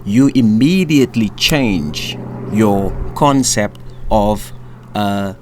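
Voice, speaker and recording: A man co-hosting a casual coaching chat about self-concept, emphatic and fond of repeating phrases and saying "boom". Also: expressive, takes it slowly, darkish tone, a little hiss or hum. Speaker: manifestation coach, co-host.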